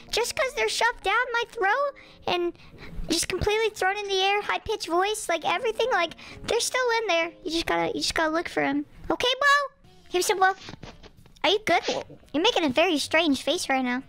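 A young man playing a cheeky, teasing seven-year-old boy through a high-pitched voice changer, bantering casually. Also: bright, forceful tone, full character voice. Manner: teasing, mock-offended. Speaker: streamer trolling strangers. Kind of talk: casual prank banter on video chat. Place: small room at home. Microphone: close boom mic with foam cover.

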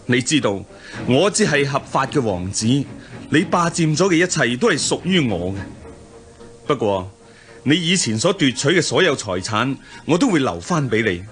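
Heroic young prince for radio drama: Hong Kong Cantonese, earnest, declaiming, lively intonation over faint music. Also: male voice, medium pitch. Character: noble, upright young prince and hero.

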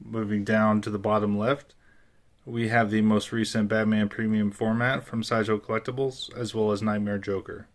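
A man's voice: lowish voice, flat delivery, normal speed. A man giving a casual voice-over tour of his statue collection room, plain and matter-of-fact with frequent filler words.

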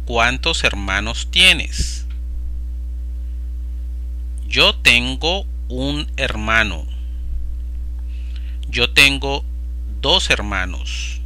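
Slow, bright-voiced male Spanish tutor. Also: mid-range voice. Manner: slow, carefully enunciated phrase by phrase. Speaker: Spanish teacher. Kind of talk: slow read-aloud vocabulary drill. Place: voice-over over slides.

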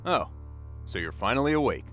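A man playing a smug, menacing gang leader in an animated web series, sardonic and threatening. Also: medium pitch, fairly quick, lightly acted. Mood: mocking. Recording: loud ominous music behind voice.

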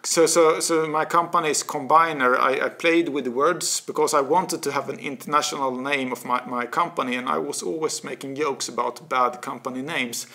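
Swedish man, 40s, interview guest; bright edge, some fry; stumbles and repeats words mid-thought. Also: medium pitch, normal speed, few pauses. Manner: animated, gestures a lot, repeats words while searching. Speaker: guest, author. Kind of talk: casual interview talk. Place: small office studio with bookshelves, indoors. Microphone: desk studio mic.

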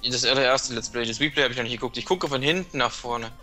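Man, bright forceful voice, guest commentator, explains tips, restarts sentences, faint game music. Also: mid-range voice, fast talker. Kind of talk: casual gaming chat.

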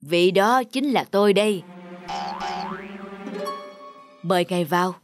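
An elderly countryside chess master, humble and calm. He speaks in measured, courteous phrases like a patient teacher. Music runs beneath this cartoon dialogue. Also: high-pitched. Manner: measured, polite, gently instructive. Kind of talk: animated folk-tale dubbing.

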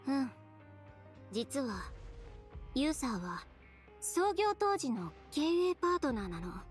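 A proud young fashion designer from an anime game. Her voice sits a little high, yet she stays cool and composed. The delivery is measured and matter-of-fact, over faint music. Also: sing-song, heavily acted. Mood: reflective.

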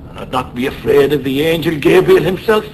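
A high-voiced, emotional Irish sailor. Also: male voice, brisk, creaky. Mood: firm, reassuring. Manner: emotional, lilting, pleading. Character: anguished, devout Irish sailor comforting his shipmate. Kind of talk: radio drama acting. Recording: noisy background in radio play.

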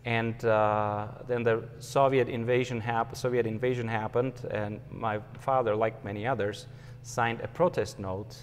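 A man's voice: medium pitch, fairly even intonation, unhurried, clean tone. Slovak man, 40s, guest interview, hesitant and thoughtful, hall echo on a lapel mic. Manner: thoughtful, many hesitations and fillers. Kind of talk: conversational interview. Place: empty hall with a stage.

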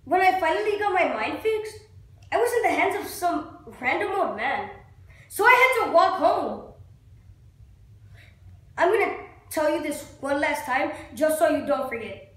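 A high-voiced, wounded young character played by a woman delivers a bitter dramatic monologue. The manner is accusing, intense and emotional, like amateur stage acting. It rings with heavy room echo. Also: moderate pace, close to an everyday voice. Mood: bitter, furious. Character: bitter, wounded young person angrily confronting God. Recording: very echoey, reverberant room.

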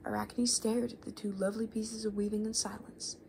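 A young woman speaks softly with a lot of creak, often sinking into a whisper. She reads and chats in a loose, informal ASMR manner. There is a noticeable hiss in the room. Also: mid-range voice, little pitch movement, a little fast. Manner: rambling, informal, gently chaotic asides. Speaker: ASMR creator reading children's books aloud. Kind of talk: soft-spoken ASMR reading and casual chat. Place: small living room, indoors.

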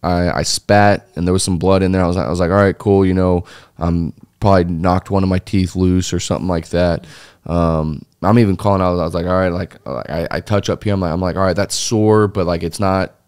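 A man tells his story to a podcast host in a low, deep voice. He rambles loosely, full of fillers and restarts. The studio mic is close and the sound is dry. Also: steady tone, a little fast, darkish tone, smooth, dry recording. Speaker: interview guest, former foreign volunteer fighter. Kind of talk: casual interview storytelling. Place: small dark podcast studio. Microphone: studio mic on boom arm.

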